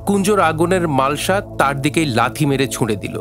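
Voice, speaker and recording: A man's voice: a little high, lively intonation, fairly quick. A man narrating a scripted dramatic story as the narrator of an audio play. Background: faint music.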